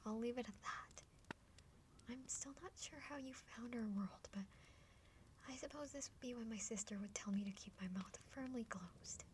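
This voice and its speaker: Woman acting a high, whispering young half-dragon sister. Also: moderate pace, a little mellow, natural voice. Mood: friendly, helpful. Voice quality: breathy whispering. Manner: chatty, playful, hesitant stammers. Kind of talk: whispered ASMR roleplay. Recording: faint background noise.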